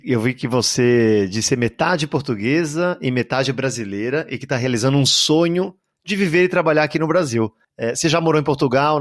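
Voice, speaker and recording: A man hosting a health podcast, relaxed and friendly as he interviews a guest. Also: mid-range voice, no room sound, clean studio sound. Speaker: podcast host. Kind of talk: casual health podcast chat.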